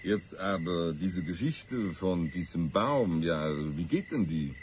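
Curious politician for radio drama, deep and ponderous with lively swings, clear background noise. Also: male voice, takes it slowly. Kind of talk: radio play acting.

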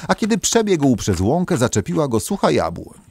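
A man narrating a folk tale as a storyteller, in an expressive, theatrical manner. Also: on the high side, normal speed, creaky, breathy edge, no room sound.

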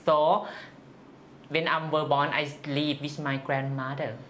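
An interview guest from northeast Thailand with a Thai accent, giving personal answers in halting, simple non-native English. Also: mid-range voice, level tone, a bit bright, a little hiss or hum.

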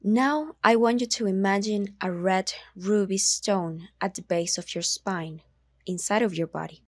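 A woman narrating a guided meditation in a soothing, measured manner, leaving long pauses between instructions. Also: medium pitch, no room sound, studio quality.

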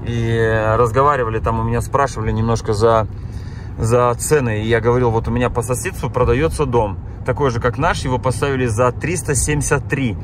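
A man's voice: mid-range voice, steady tone, brisk, noisy background. A man born in Kazakhstan who has lived in Germany, chatting casually with his viewers while driving, often exclaiming at what he sees. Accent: Russian speaker from Kazakhstan and Germany. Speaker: vlogger. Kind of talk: casual driving vlog chat. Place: car driving through town.

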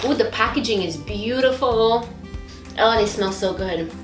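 A woman's voice: on the high side, a little slow, roomy sound, music in the background. A woman, gushing with squeals as she unboxes beauty products in a vlog. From Romania. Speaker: beauty vlogger. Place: small bright indoor home studio.

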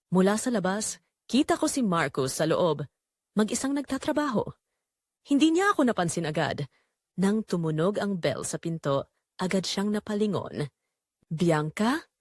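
A woman's voice: on the low side, no echo, studio quality. A woman narrating a scripted first-person romantic drama in an emotive, confessional manner. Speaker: storyteller narrating in character.

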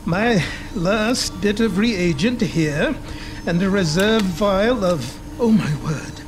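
An actor playing an eccentric, excitable older doctor in a video game, with a fussy, bookish, wordy manner. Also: male voice, high voice, takes it slowly. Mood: excited. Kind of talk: video game cutscene acting. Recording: loud music behind.

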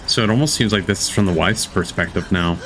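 A man's voice: sits low, quick pace, low-quality recording. A man giving casual live reactions as a streamer, sceptical and unimpressed. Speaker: streamer reacting to a games showcase.

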